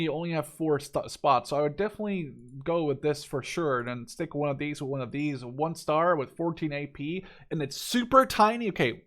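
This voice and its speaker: A man's voice: on the high side, a bit bright. A man in his twenties giving casual, unscripted game commentary, informal and thinking aloud as he goes. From Canada-based, Dutch. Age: 20s. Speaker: gaming YouTuber reviewing a strategy game.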